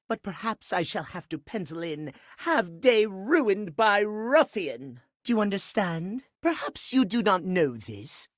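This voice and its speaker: A man's voice: high voice, a bit bright, no echo, clean studio sound, heavily acted. A man voicing a fussy, pompous male clock obsessed with punctuality in a video game, with prim, haughty, precise elocution. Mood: haughty, disapproving. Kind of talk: theatrical video game character acting.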